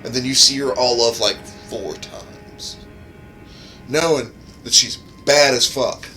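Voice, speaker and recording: A man's voice: medium pitch, slow pace, sharp tone. A man giving casual, rambling livestream gaming commentary, with loose, informal and sweary speech. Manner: profane, informal, rambling asides. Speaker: game streamer and modder. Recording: music under the voice. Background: music.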